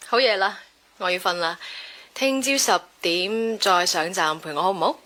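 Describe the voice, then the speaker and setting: A woman's voice: mid-range voice, a little slow, pushed and bright. An actress with a Hong Kong Cantonese accent playing a coy, teasing young woman in a radio drama. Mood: playful, coy.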